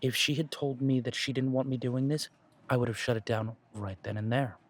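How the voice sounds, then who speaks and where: A man playing a steady werewolf pack leader. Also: medium pitch, natural voice. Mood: firm, protective. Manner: earnest, measured, reassuring, with dry humour. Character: steady, protective male werewolf pack leader, wry and dutiful. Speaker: voice actor in audio roleplay. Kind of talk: scripted audio roleplay drama.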